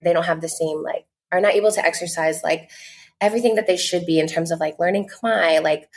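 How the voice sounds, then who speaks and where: A woman's voice: lowish voice, fairly quick. A woman, talking casually as a podcast guest, earnest and full of "like" fillers. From United States. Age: 20s. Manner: chatty, many "like" fillers, earnest. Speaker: podcast guest, content creator. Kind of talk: casual interview talk.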